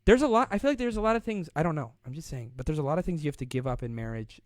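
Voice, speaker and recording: A young man with a slightly high voice chats playfully and casually, rambling and laughing often as he hosts a live stream. The sound is dry and close, with no room echo. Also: animated, racing. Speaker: host of a live online show.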